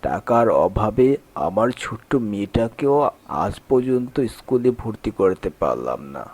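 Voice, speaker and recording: A man's voice: on the low side, big pitch swings, a little slow, darkish tone, slightly rough, exaggerated voice. A man voicing a weary, poor, honest village day-labourer in a cartoon fairy tale, plaintive and earnest. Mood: despairing.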